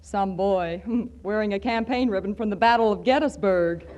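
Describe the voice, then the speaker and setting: A woman's voice: medium pitch, normal speed, darkish tone, dull audio, slight background noise, natural voice. An actress playing a wisecracking girlfriend in a 1940s radio comedy, with a teasing, sardonic delivery. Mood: wry teasing. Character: wry, wisecracking girlfriend of a phony boss.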